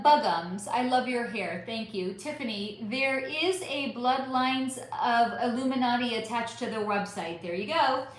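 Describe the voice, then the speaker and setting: A woman with a slightly high, somewhat hoarse voice runs a casual live stream, answering her chat in a rambling, emphatic way and swearing now and then. The sound is drenched in strong room echo. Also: fairly bright tone. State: voice going hoarse. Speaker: psychic channel host reading live chat. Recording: heavily echoey room.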